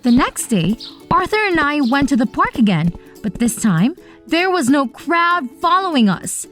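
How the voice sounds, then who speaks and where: A woman performing an earnest young heroine of an animated story, telling it in a high, swooping voice. Her delivery is expressive and dramatic, with music playing behind her. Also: measured pace, heavily acted. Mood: earnest storytelling. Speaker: narrator and lead character. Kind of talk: animated first-person story narration with acted dialogue. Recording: voice over background music.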